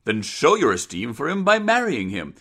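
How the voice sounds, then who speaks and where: A man's voice: mid-range voice, wildly swooping pitch, normal speed, pushed and bright, voice pushed up, full character voice. A man voicing a stern, insistent father who speaks in firm, formal, old-fashioned language. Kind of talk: dramatized reading of an old sentimental tale.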